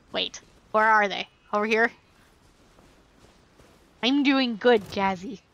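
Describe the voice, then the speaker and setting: A woman's voice: mid-range voice, creaky, fairly bright tone, lo-fi sound, a little hiss or hum. A woman giving casual live commentary as she streams a game, wry, playful and sarcastic. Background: game music.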